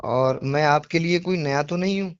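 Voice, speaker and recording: A man's voice: on the high side, moderate pace, clear, resonant tone, dry recording. A man on a recorded phone call, speaking earnestly and apologetically, explaining himself insistently with repetitions and rhetorical questions. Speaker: devotional poetry reciter on a phone call.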